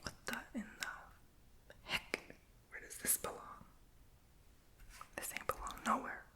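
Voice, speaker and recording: A young woman whispering as she chats while doing a puzzle, rambling, playful and blunt. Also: a little slow. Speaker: vlogger.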